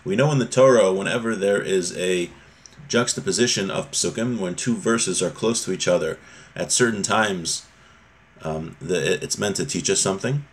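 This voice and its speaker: A man's voice: low-pitched, normal speed. A man, around his thirties or forties, giving an informal religious lesson, reading and explaining, often rephrasing his points. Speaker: religious teacher. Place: small home room, indoors.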